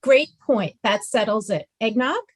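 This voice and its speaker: A woman's voice: a little high, animated, unhurried. A woman playing a coddling, sweetly placating mother of a grown son in a comedy table read. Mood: coaxing. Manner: sweetly coaxing, protective.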